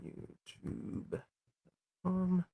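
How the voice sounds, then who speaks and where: A man's voice: very slow, dark tone. A middle-aged man hosting a casual call-in show, rambling with many fillers and restarts.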